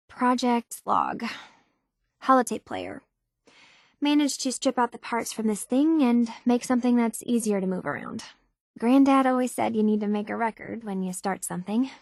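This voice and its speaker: A woman's voice: a little high, big pitch swings, normal speed, vocal fry, no room sound, studio quality, heavily acted. An actress playing an earnest young woman tinkerer searching for her identity in a video game, speaking in a natural, slightly hesitant conversational way. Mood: chatty, tinkering. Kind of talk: naturalistic game dialogue acting.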